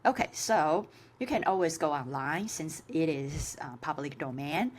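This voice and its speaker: A woman with a Chinese accent, a native Chinese speaker, giving an informal tutorial as a course instructor, with halting phrasing full of filler sounds. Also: low voice, lively intonation. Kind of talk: informal screen-share tutorial.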